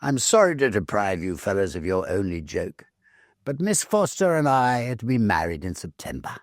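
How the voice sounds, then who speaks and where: A confident young Victorian suitor played by a male narrator, earnest and sure of himself. The delivery is expressive, in a dry storybook recording. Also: on the low side, moderate pace, dry recording. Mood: smug, assured.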